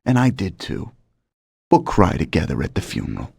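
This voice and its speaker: An actor playing a treacherous, mocking mobster in a dramatic video game cutscene. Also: male voice, low-pitched, huge pitch swings, moderate pace, creaky, darkish tone, slightly rough, dry recording, heavily acted. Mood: stern. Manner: mocking, theatrical delivery. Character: treacherous male mobster, mocking, cold, coolly businesslike. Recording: music behind the voice. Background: dramatic background music.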